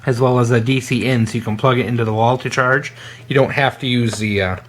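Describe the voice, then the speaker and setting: A man giving a casual, hands-on product demonstration in an informal, halting way as a gear reviewer. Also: medium pitch, steady tone, a little hiss or hum.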